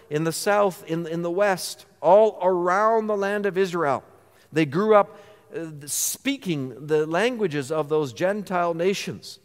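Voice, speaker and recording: A man preaching a sermon as pastor, earnest, posing rhetorical questions to the congregation. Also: on the high side. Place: church sanctuary. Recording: church hall reverb.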